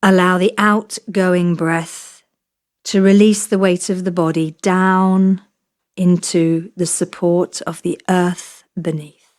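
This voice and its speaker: A woman yoga nidra teacher leading a guided relaxation meditation in a soothing, measured way, leaving long pauses between short phrases. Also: on the low side, little pitch movement, a little mellow.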